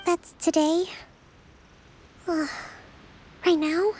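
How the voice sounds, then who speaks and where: A woman playing a gentle, dutiful young island leader in a game, speaking in a measured, polite, formal way. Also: very high voice, flat delivery, a little hiss or hum, full character voice, poor audio. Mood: weary.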